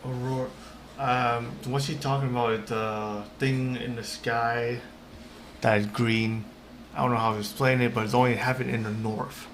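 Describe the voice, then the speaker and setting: A man streaming a video game, reacting casually in halting, informal speech with game music behind him. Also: medium pitch, little pitch movement, fairly bright tone, a little hiss or hum. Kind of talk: casual reacting and rambling over gameplay.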